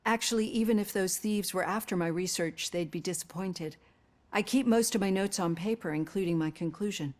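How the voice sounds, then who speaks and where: An actress playing a composed, caring female psychologist in a video game, speaking in a measured, earnest, articulate way. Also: mid-range voice, darkish tone, close to an everyday voice. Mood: calm, probing. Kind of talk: acted video game dialogue.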